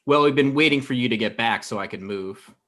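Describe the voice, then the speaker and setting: A man plays a thirty-year-old boyfriend in a comedy script read over a video call. He is dry and defensive, with a medium-pitched voice. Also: fairly quick, pushed and bright, close to an everyday voice. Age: young adult. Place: indoor room on a video call.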